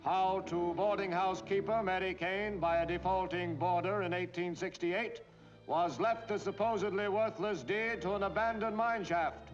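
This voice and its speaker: A man's voice: high voice, fairly even intonation, normal speed, close to an everyday voice. An American man playing a pompous, portentous newsreel announcer, declaiming in grand 1940s style over music. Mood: brisk, grand. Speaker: newsreel narrator. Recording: dull 1940s film soundtrack, loud music. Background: loud orchestral music.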